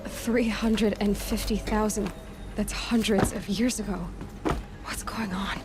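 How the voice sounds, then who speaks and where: An actress playing a bold, curious young huntress who talks to herself wryly. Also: female voice, mid-range voice, fairly even intonation, slow, heavy background noise, close to an everyday voice. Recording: game audio with loud music.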